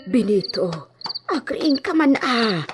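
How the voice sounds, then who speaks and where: An actress playing a fretful village woman in an Ilocano radio comedy drama, recorded with clear noise. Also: a little high, animated, takes it slowly, loud music behind the voice. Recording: clear noise, animal and insect sounds behind.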